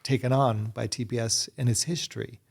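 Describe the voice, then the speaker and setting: A man's voice: mid-range voice, fairly even intonation, a little fast, gravelly. A middle-aged man answering interview questions earnestly, often restarting and repeating words. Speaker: guest, Bible society secretary.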